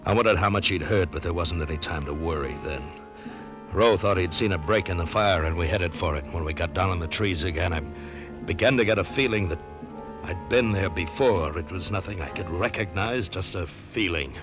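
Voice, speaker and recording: A man's voice: low voice, fairly quick, muffled recording, natural voice. A man narrating and acting in an old radio drama, in a grim, weary first-person manner. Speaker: narrator and lead character. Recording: old radio recording with music beneath.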